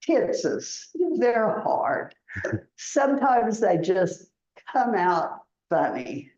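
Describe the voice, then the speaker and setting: A woman's voice: mid-range voice, very animated, extremely slow, darkish tone, echoey room, muffled recording. A woman with an Oklahoma accent teaching English in a classroom, patiently repeating words for her learners and laughing often. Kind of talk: classroom language lesson.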